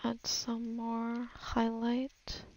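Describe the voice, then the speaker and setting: Slow, creaky-voiced woman narrating a tutorial. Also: on the high side, completely flat delivery, darkish tone, slight background noise. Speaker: digital art tutorial narrator. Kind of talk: casual unscripted voice-over tutorial, thinking aloud.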